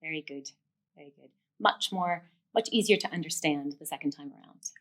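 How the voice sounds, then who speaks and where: Low-voiced woman giving encouraging instruction. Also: fairly quick, background noise. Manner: encouraging, affirming, explanatory. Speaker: speech therapist giving instruction. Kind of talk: instructional demonstration, explaining step by step.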